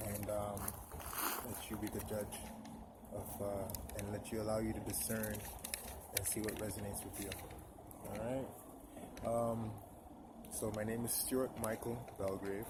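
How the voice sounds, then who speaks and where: A man in his twenties talks to camera, stopping and restarting often as he searches for words. His voice sits low and barely rises or falls. Traffic and outdoor noise run clearly under the whole recording. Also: flat delivery. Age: 20s. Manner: halting, full of pauses and restarts. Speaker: vlogger. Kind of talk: personal spiritual monologue to camera.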